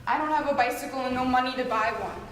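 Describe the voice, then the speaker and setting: A woman's voice: high-pitched, quick pace, background noise, close to an everyday voice. A woman acting an anxious, weary refugee in a live stage drama. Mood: despairing. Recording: distant, very echoey theatre recording.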